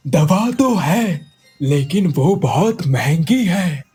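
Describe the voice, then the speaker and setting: A man playing a grave, sober village healer in a cartoon moral story, with measured delivery. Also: fairly high pitch, sing-song, darkish tone, slightly rough, soft background music, heavily acted.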